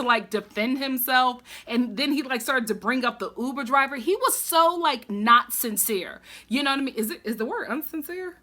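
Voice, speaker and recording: A woman recapping a reality show in a casual, chatty vlog, animated and quick to laugh, still getting over the flu. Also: high voice. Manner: animated, laughs often, sassy asides. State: recovering from flu.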